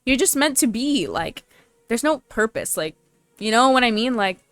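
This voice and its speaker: A woman's voice: on the high side, expressive, moderate pace, fairly bright tone, no echo, faint music behind. A young woman doing casual, slangy game commentary with a playful, teasing manner. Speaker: streamer playing a story game. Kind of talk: casual game commentary, with dialogue read aloud.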